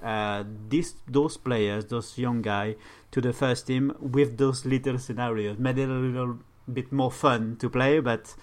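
A man's voice: medium pitch, steady tone, a little slow, few pauses. A man with a French accent, a native French speaker, chatting casually as a podcast guest and often repeating words as he searches for the phrase. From France. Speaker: podcast guest, gaming streamer.

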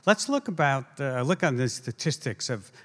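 A man hosting a radio science show, giving measured, scripted introductions and interviewing a guest on stage. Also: mid-range voice, expressive, fairly bright tone, no room sound. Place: theater stage before a live audience.

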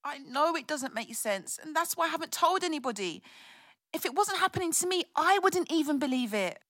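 Very high, pleading young woman, acted. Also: expressive, fairly quick, fairly bright tone, no room sound, clean studio sound. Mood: confused, earnest. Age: young adult. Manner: pleading, hesitant, tearful. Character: distraught, apologetic young pregnant daughter, pleading innocence. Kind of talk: audio drama acting.

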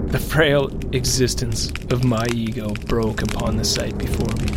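Horror drama narrator, male actor: haunted pilot, solemn, ornate delivery, mid-range voice under very loud music. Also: medium pitch, close to an everyday voice. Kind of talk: scripted first-person horror narration.

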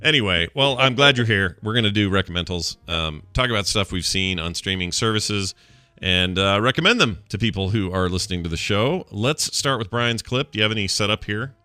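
A man's voice: fairly low pitch, brisk, sharp tone, dry recording. A man hosting a casual chat show, joking and asking playful questions. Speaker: podcast host.

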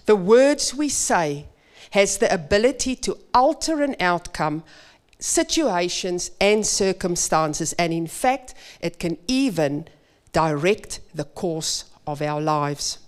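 A woman preaching in church, her Afrikaans. She speaks very slowly and with emphasis, in a smooth, clear voice that sits a little low for a woman. Her delivery is earnest and measured. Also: animated. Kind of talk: sermon.

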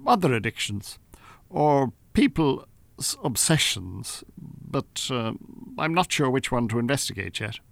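An actor with an Irish accent playing a smooth, bossy, pompous hypnotist and con-artist in a radio drama. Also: male voice, medium pitch, very animated, unhurried, no echo. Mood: formal, flustered.

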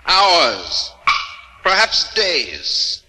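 An actor playing a coldly tormenting, ghostly inquisitor friar in a 1940s radio drama, formal and menacing. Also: male voice, fairly high pitch, huge pitch swings, very slow, heavily acted. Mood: quiet menace. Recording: echoing old radio recording, loud music.

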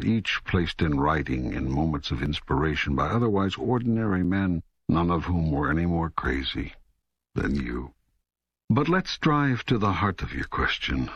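God as an old, patient and wry authority in a dramatized audiobook. The voice is very deep and rough, with highly animated pitch. The delivery is deliberate and oratorical, in a dry, close sound. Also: male voice, dry recording, muffled recording, exaggerated voice.

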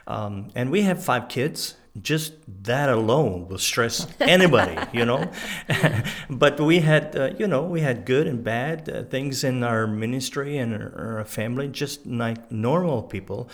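A middle-aged man and former pastor with a French Canadian-sounding accent, sharing his story candidly in an interview, his speech halting from aphasia after a stroke. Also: mid-range voice, lively intonation. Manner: candid, many pauses and fillers. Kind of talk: personal testimony in an interview.

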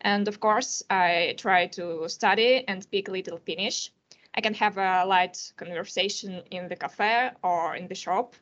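A teenage woman with a Russian accent, answering questions carefully and pausing often to search for words. Her voice sits at an ordinary pitch, and the audio sounds a little dull and lo-fi. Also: a bit bright. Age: teens. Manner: careful, with frequent hesitations. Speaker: international student and podcast guest.

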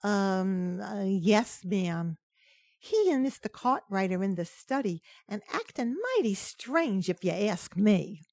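An actress playing a polite, plain-spoken household maid in a 1940s-style radio sitcom. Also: female voice, mid-range voice, expressive, slow, darkish tone, no room sound, studio quality. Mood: matter-of-fact, puzzled. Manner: polite, comic servant delivery.

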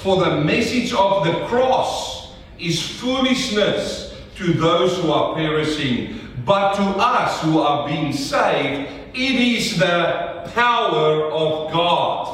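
A South African man preaches with a fairly high voice, pushing his points hard and calling for answers from the pews. He sounds set back from the mic, and a long reverb from the church hall trails every phrase. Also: lively intonation, slow pace, a bit bright, recorded from a distance. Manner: emphatic call-and-response preaching. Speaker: pastor. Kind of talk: sermon.

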